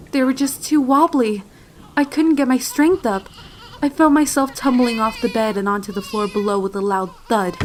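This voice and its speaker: A woman's voice: fairly high pitch, natural voice. A woman voicing a frightened, tearful little pony filly, telling her horror story in a solemn, dramatic, emotive way. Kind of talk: first-person dramatic fanfiction horror narration. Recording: clear noise and sound effects behind. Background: storm and animal sound effects, noise.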